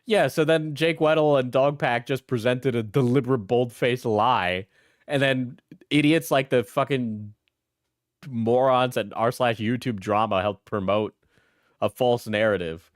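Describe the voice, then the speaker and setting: A man with a medium-pitched voice delivers casual, opinionated commentary. He is blunt and mocking, swears freely and often tags his points with a questioning "right?" The sound is dry and close. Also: a little slow, dry recording. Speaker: commentator reacting to an interview.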